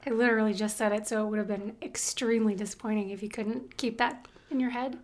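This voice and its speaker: A woman's voice: medium pitch, fairly even intonation, fast talker. A woman in her thirties co-hosting a casual podcast, playful and chatty. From United States. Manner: playful, laughs, breaks into song. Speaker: podcast co-host. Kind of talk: casual chat.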